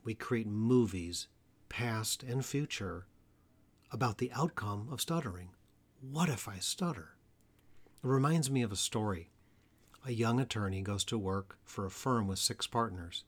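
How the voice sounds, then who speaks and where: A man hosting an educational podcast about stuttering, speaking in an earnest, measured way and slowing down on key phrases. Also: on the low side. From Atlanta area, US. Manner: earnest, measured delivery with slow emphatic phrases. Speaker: podcast host, stuttering therapist. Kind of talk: solo educational podcast talk.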